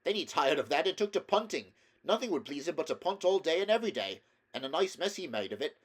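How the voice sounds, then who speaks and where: A man voicing a chirpy water rat, raised pitch. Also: big pitch swings, quick pace. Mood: exasperated, gossipy. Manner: chirpy, chummy, exclaiming. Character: cheerful, sociable male water rat, easygoing host. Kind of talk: storybook character voice in a read-aloud.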